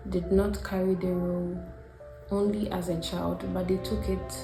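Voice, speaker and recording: Low-pitched Ghanaian woman in a roomy space. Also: little pitch movement, normal speed, mellow. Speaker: video essay host. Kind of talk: scripted reflective narration. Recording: roomy echo, music behind. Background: background music.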